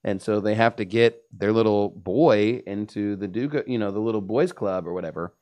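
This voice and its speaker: A man's voice: fairly low pitch, moderate pace, a little mellow. A man giving emphatic, opinionated religious commentary as a panelist in a discussion.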